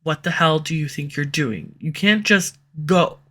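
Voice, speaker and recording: A woman's voice: low voice, expressive, measured pace, a bit bright, exaggerated voice. A woman playing a cursing tsundere villainess. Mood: defensive, heated. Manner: curses often, defensive and flustered when pressed. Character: proud, guarded tsundere female villain. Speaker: voice actor. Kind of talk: audio roleplay acting. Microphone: USB condenser microphone.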